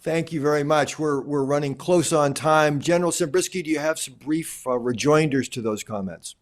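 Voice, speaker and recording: A man's voice: on the high side, moderate pace. A man moderating a formal panel, politely thanking speakers and putting questions to them.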